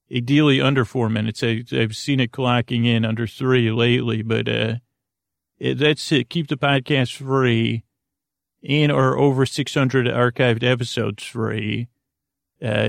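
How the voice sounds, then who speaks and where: A man hosting a sleep podcast in a creaky, lulling voice, meandering haltingly through tangents and interrupting himself as he goes. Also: medium pitch, dry recording, studio quality. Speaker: podcast host. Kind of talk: rambling bedtime sleep podcast monologue.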